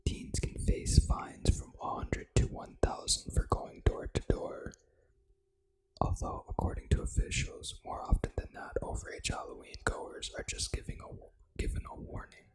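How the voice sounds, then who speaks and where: An ASMR gaming host, reading trivia in a breathy, unvoiced whisper with casual, informal asides. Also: extremely high pitch, poor audio. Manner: casual, informal, unhurried reactions to what is read.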